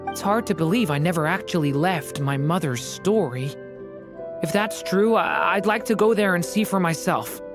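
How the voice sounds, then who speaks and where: A woman voicing a gentle, unsure young dragon boy in a video game, speaking softly and hesitantly. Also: on the low side, animated, moderate pace, over music, natural voice. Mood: hesitant, resolved. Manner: soft, hesitant.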